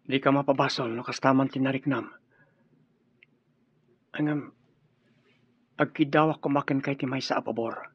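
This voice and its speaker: Actor as a husky, earnest young suitor. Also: male voice, medium pitch, steady tone, moderate pace, pauses often, natural voice. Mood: pleading. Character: earnest, deferential young male suitor pleading for peace. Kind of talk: radio drama acting. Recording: muffled, lo-fi radio drama audio.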